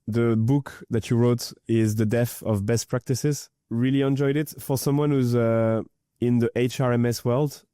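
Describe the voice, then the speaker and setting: A man's voice: mid-range voice, warm tone, no echo, studio quality. A man hosting a podcast interview, friendly and appreciative as he asks open questions. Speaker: podcast host.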